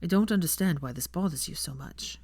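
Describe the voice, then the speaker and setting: Woman reader as young male wizard: lowered pitch, drawling, blunt, probing, a little peeved, dry recording. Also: a little fast, darkish tone, husky, no room sound. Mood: quiet, probing. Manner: drawling, low-key, probing.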